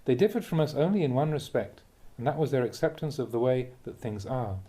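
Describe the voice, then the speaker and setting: A man reading a Buddhist teaching aloud as a Dhamma talk, in measured, deliberate phrases with emphatic contrasts. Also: mid-range voice, animated, dark tone.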